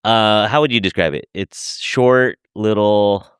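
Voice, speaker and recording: A man with a lowish, exceptionally smooth and resonant voice hosts a podcast interview. He speaks in an easygoing way, with short nods of agreement. The sound is dry and close, with no room around it. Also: measured pace, a bit bright, dry recording. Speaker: podcast host. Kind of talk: casual interview.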